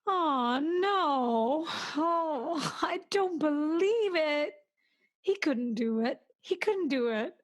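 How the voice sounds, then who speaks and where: An actress playing a frantic, self-pitying, imperious invalid wife in a radio thriller, stammering, pleading and demanding. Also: female voice, high-pitched, animated, drawn-out words, exaggerated voice. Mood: anguished, distraught. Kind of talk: 1940s radio thriller acting.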